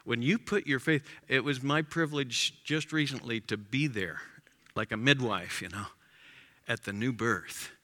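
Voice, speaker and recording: A man preaching a sermon in an emphatic way, his voice rising to loud exclamations. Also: mid-range voice, a little slow, in a large hall.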